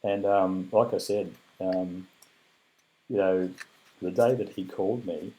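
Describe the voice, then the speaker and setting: A man's voice: low-pitched, fairly even intonation, slow pace, mellow, dull audio. An Australian man with a scratchy, weakened voice, giving a rambling, earnest video update full of ums and thanks. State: weak, recovering from illness. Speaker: cancer patient giving an update. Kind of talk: casual heartfelt video update.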